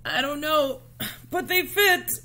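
An earnest young storybook boy, voiced by a woman, full of hope. The lines come out plain and emphatic, pitched up. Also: takes it slowly, sharp tone, full character voice. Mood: hopeful insistence. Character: earnest, hopeful young boy narrating his wishes.

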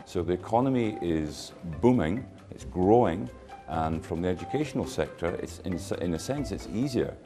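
A man with a very low, mellow voice answers interview questions for a promotional film. Music plays faintly behind him. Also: expressive, moderate pace.

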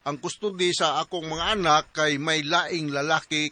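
A man's voice: on the high side, steady tone, moderate pace, pushed and bright, no echo. A man with a Cebuano Filipino accent co-hosting a radio advice show, reading out listeners' questions.

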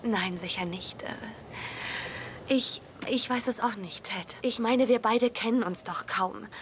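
A woman dubbing a friendly but guarded young woman who talks gently and hesitantly, in a German sitcom dub. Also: a little high, unhurried, noisy background, close to an everyday voice. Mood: gentle. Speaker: dubbed sitcom lead.

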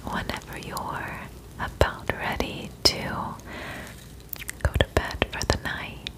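A young adult woman performing a whispered ASMR roleplay close to the mic, gentle and soothing as a friendly witch. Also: slow pace, low-quality recording. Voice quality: breathy, unvoiced whisper.

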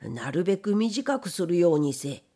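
A woman's voice: fairly low pitch, big pitch swings, normal speed, dark tone. A woman voicing a stern, probing old factory owner in an audiobook, with blunt, imperious, old-fashioned speech. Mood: businesslike, commanding. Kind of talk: audiobook character reading.

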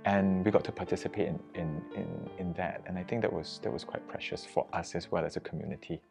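A man with a very low voice talks as a friar in a documentary interview. He speaks haltingly, with many fillers and restarts. A faint guitar plays underneath. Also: steady tone, creaky.

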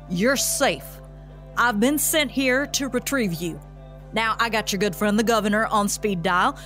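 Tough lady police chief, sarcastic and bossy, higher voice that swoops around, podcast drama. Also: fairly bright tone. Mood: brisk, assured. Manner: sarcastic, folksy, authoritative. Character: tough, sardonic, commanding female police chief. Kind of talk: scripted audio drama acting.

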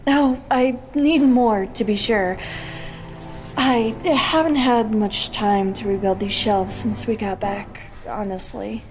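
A woman's voice: medium pitch, slow, soft background music, natural voice. An actress playing a thoughtful, sincere young female leader of a keep in a fantasy audio drama, hesitant and wistful. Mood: light.

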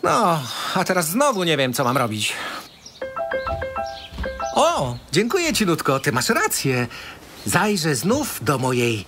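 Whimsical boy hero for children's radio play; exclamatory, very animated, over music. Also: on the high side, takes it slowly, long pauses, exaggerated voice. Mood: wondering, grateful. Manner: theatrical, exclamatory, musing aloud. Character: whimsical boy hero searching for happiness, wide-eyed.

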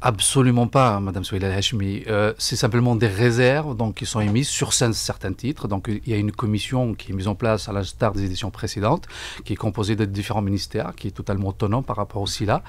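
A middle-aged man giving formal, deliberate answers in a radio interview, often repeating words as he searches for the next. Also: lowish voice. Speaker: book fair coordinator, interview guest. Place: radio studio. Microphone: broadcast microphone on desk stand.

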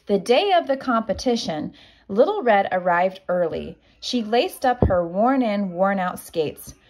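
A woman reads a children's picture book like a kindergarten teacher. Her pace is slow and deliberate. Her pitch moves in lively, expressive swings. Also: low-quality recording. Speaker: kindergarten teacher reading aloud.

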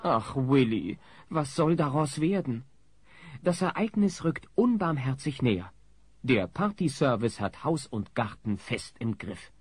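A man's voice: mid-range voice, sing-song, measured pace, slightly rough. A man playing the wry, dramatic narrator of a comedy audio play. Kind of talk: scripted narration.

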